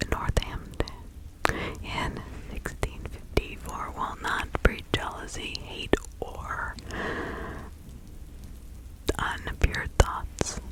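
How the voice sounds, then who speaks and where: Slow whispering woman, ASMR witch roleplay. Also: low-quality recording. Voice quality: breathy unvoiced whisper. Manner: slow, deliberate, instructing with soft repetition. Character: kindly, protective 17th-century witch woman giving counsel.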